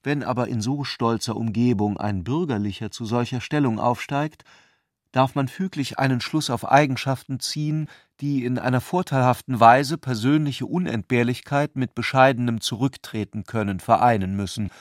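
A man narrates a literary radio play at a middle pitch. His delivery is measured and articulate, recorded very close and dry with no room around it. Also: no room sound.

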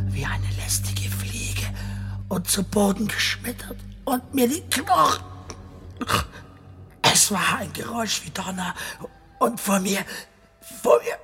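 An actor playing a dying, tormented madman in a German radio horror drama, breathy whispers breaking into feverish, halting cries. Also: high voice, pushed and bright, full character voice. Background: music.

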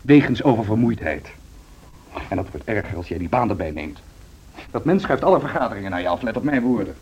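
A grumbling, sardonic husband , played by a man in a radio play. He speaks bluntly in a fairly low voice with very lively swings of pitch. The recording is muffled, with some hiss behind him. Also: a little fast, natural voice. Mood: disapproving. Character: grumbling, sardonic husband opposed to wife's ambitions. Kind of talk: Dutch social radio drama acting. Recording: dull, noisy radio drama recording.

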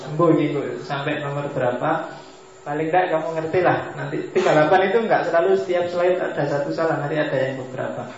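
A man's voice: fairly high pitch, a little hiss or hum. A man giving a casual philosophy lecture in a mosque, joking with the audience and slipping into asides. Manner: joking, mixes Javanese asides. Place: large mosque hall. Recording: echoey hall, dull lo-fi sound.

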